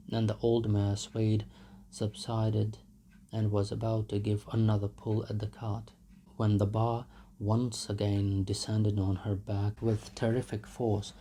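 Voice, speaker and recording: A man's voice: fairly low pitch, mellow. A man reading literary fiction aloud as a dramatic audiobook narrator. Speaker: audiobook reader. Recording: noisy home recording.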